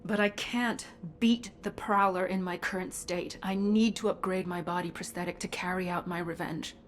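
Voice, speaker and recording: A woman's voice: lowish voice, fairly even intonation, normal speed, close to an everyday voice. Blunt, vengeful cyborg woman commander, played by an actress. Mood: determined. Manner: blunt, heated. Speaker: voice actor. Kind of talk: dramatic game dialogue.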